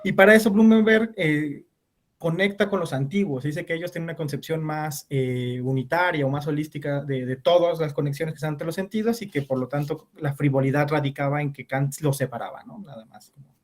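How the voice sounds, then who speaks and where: A man's voice: fairly high pitch, fairly quick. A male conference speaker answering questions at an online philosophy panel, hesitant with frequent restarts and fillers. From Latin America. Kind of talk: academic Q&A answer.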